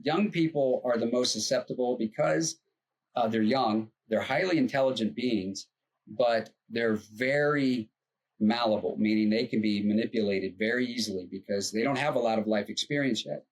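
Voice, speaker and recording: A man's voice: medium pitch, moderate pace, breathy edge, rough recording. A man co-hosting a podcast, talking earnestly and at length about money, with restarts and fillers. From United States. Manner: rambling, earnest, explains at length. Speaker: co-host, financial advisor. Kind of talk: casual podcast chat.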